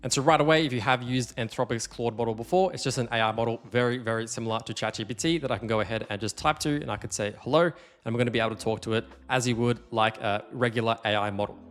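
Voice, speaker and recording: A man hosting a casual software tutorial, explaining things conversationally and stressing the key points. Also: medium pitch, quick pace, few pauses. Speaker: tutorial host.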